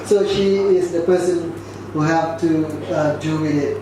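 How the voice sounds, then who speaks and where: A man with a high voice leads an informal orientation for students. He draws his listeners in with prompts and questions. He is picked up from a distance in a very echoey, noisy hall. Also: steady tone, measured pace. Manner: interactive, prompting listeners to answer and repeat. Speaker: host leading a student orientation. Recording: very echoey hall, distant mic, clear noise.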